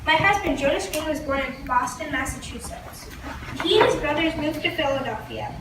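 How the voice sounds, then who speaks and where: A woman's voice: sits high, lively intonation, moderate pace. A woman playing a widowed colonial printer, reading a prepared script and tripping over words now and then. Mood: earnest. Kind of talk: first-person historical role-play. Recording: roomy, distant mic, noisy background.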